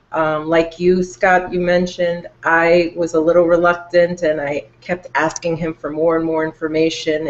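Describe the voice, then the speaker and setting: A woman from New York introducing herself as a panel guest on a video call, upbeat. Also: low-pitched. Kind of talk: casual video call talk. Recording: dull lo-fi call audio.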